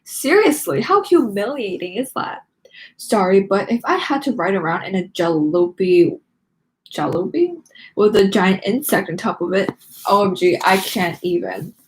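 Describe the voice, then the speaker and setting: A woman's voice: medium pitch, lively intonation, normal speed, natural voice, rough recording. Casting: spoiled rich mean girl played by a woman, catty, gloating, theatrical storybook read, some background noise. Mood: catty, mocking. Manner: haughty, sugary-mean, dramatic. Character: snobby spoiled rich schoolgirl drama queen, gloating and catty. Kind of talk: read-aloud of a teen diary storybook.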